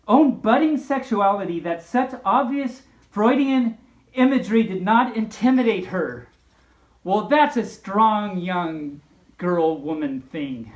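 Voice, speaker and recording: A woman tells a story in a lively, swooping voice, adding mock-earnest comments as she goes. Her voice sits at a middle pitch, with a noticeable room echo around it. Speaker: storyteller, host of a story time series. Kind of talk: storybook read-aloud with spoken asides.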